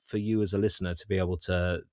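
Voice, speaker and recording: A man talking casually as an interview guest, thinking aloud in halting, repeated phrases. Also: sits low, level tone, no room sound, clean studio sound. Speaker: writer-director, podcast guest.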